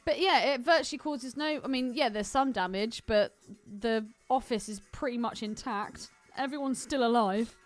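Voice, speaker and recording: British woman podcaster, higher-pitched, music behind. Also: normal speed, hardly any pauses. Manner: sweary, jokey. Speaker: podcast co-host. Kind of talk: casual film podcast chat.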